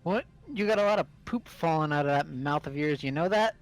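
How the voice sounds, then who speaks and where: A man's voice: on the high side, fairly quick, soft background music, lightly acted. A man voicing an irritated, self-righteous male student who scolds and accuses in an amateur game dub. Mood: irritated, scolding. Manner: loud, accusatory, scolding with childish swearing substitutes. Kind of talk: amateur fully voiced game playthrough.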